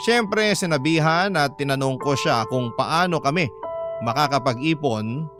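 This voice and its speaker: Man voicing discontented confessor, high-ish voice over music. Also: measured pace, natural voice. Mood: confiding. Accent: Filipino. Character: discontented live-in partner confessing to a radio host. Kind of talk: dramatic first-person letter narration. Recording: music bed behind voice.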